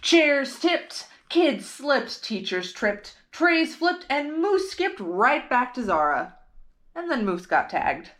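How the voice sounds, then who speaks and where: A woman reads a picture book to young children as a storytime host. Her delivery is slow and expressive, with a lively swing in pitch. She addresses the children directly and simply. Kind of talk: children's read-aloud with questions to young listeners.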